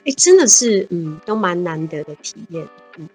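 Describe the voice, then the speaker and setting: A woman's voice: fairly low pitch, very animated, moderate pace, faint music behind, poor audio. A woman in her fifties with a Taiwanese Mandarin accent, a radio interview guest who tells stories from her childhood and her adventures in an earnest, exclaiming way. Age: 50s. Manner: earnest, warm-hearted, often exclaiming. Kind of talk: reminiscing conversational storytelling.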